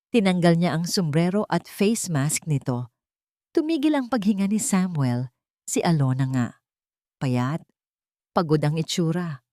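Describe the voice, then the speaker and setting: A woman's voice: low-pitched, mellow, no room sound, studio quality. A woman narrating a dramatic fictional story as a scripted audiobook.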